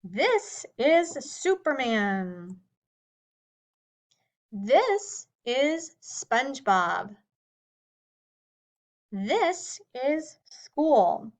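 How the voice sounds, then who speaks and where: Slow, lively-voiced woman teacher. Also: high-pitched. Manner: slow, clearly spoken teaching voice with drawn-out words. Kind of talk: slow English lesson for young learners.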